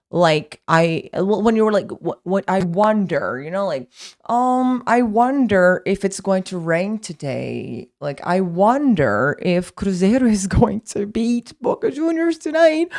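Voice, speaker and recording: Slow, chatty woman teaching English. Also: medium pitch, expressive, darkish tone. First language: Portuguese. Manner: chatty, many fillers and restarts. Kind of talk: casual online English lesson.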